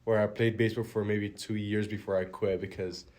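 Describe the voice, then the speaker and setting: A young man from the Dominican Republic, a college baseball pitcher, answering interview questions in a relaxed, plainspoken way and letting his phrases trail off quietly. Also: brisk. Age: young adult.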